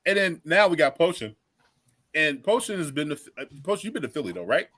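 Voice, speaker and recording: A man's voice: a little high, very animated, a little fast, fairly bright tone, dry recording. An American man hosting a football fan show with casual, slangy banter, repeating phrases for emphasis. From Philadelphia and South Jersey, US.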